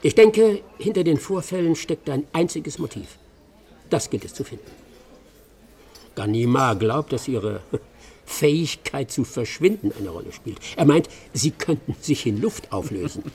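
An actor in a German radio play voicing a stern, self-assured English master detective, terse and dry, with a gravelly, husky voice. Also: male voice, a little high, very animated, a little slow, a little mellow. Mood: dry, confident.

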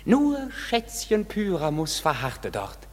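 A man's voice: on the high side, slow pace, heavily acted. An actor playing a mischievous sprite who recounts his prank with glee, in a classic German radio play. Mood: gleeful. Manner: gleeful, animated storytelling verse. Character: Puck, mischievous male sprite. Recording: husky voice in a long reverb.

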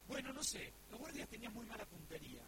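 A man's voice: high voice, very animated, fast. A man playing an easily flustered storyteller with a Rioplatense Argentine accent, telling a made-up space tale to a girl in a comedy sketch. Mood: exasperated. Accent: Rioplatense Argentine Spanish. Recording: very noisy amateur recording.

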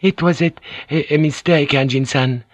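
A woman's voice: low-pitched, dry recording, voice pushed up. A male narrator voicing a distressed, polite young Japanese noblewoman who stammers and halts. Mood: pleading. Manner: halting, stammering, courteous.

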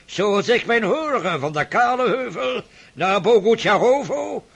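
An actor playing an irascible, domineering old Russian prince who rants and shouts in a radio play. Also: male voice, sits high, expressive, unhurried, vocal fry, slightly rough, heavily acted. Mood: lecturing. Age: older.

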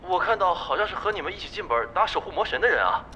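Eager high-pitched young male gamer. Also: animated, very fast, pushed and bright, soft background music. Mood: curious. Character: eager, admiring young male guild member. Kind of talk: audio drama acting. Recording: muffled, noisy crowd behind.

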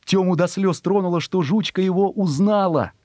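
A man narrating a children's story in an expressive, measured, suspenseful dramatic reading. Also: high-pitched, dry recording, studio quality.

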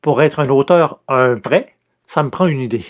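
A man's voice: medium pitch, moderate pace, slight background noise, lightly acted. A man playing a grandiose, easily exasperated would-be novelist in theatrical old-time radio comedy style. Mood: dry. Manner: theatrical, pompous, emphatic. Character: grandiose, exasperated male newspaper columnist turned would-be novelist. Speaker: lead character of the comedy series.

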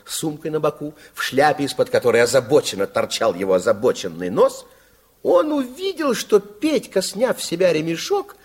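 A man's voice: fairly high pitch, breathy edge. A man narrating a Soviet children's radio play in a theatrical, playful, confiding storytelling style. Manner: theatrical, expressive. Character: expressive fairy-tale narrator, playful and confiding.

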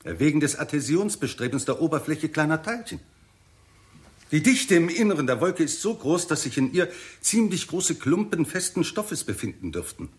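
An actor playing a persuasive, methodical scientist in a 1960s German radio play, speaking deliberately and precisely. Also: male voice, fairly high pitch, big pitch swings. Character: persuasive, methodical male scientist defending an absurd hypothesis.

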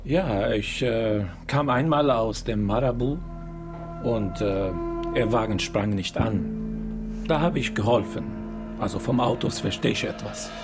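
An actor playing a polite middle-aged refugee teacher from Syria in a naturalistic radio drama, speaking carefully and haltingly. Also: male voice, fairly low pitch, over music. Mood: hesitant, explaining. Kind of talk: naturalistic German radio crime drama acting.

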